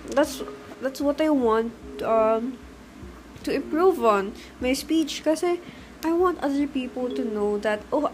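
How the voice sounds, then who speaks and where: A woman's voice: high-pitched, darkish tone. A young woman with a Filipino accent talking reflectively in a casual personal monologue, switching between Tagalog and English with frequent fillers.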